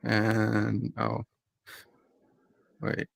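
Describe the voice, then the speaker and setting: A man streaming a game, slowly and methodically talking through what he is doing. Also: on the low side, monotone, gravelly, husky, dry recording, clean studio sound. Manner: slow, methodical, thinking aloud. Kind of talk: casual gameplay narration.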